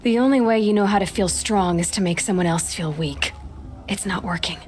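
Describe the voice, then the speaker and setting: An actress playing a proud, guarded young outcast huntress in a video game, sharp-tongued and self-assured. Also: female voice, medium pitch, a little fast, lightly acted. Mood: defiant, scornful. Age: young adult.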